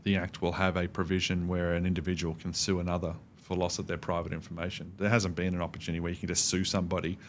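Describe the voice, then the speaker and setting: A middle-aged Australian man giving measured, explanatory answers as an expert guest in a conversational interview. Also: sits low, flat delivery, slight background noise. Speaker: podcast guest, cybersecurity expert.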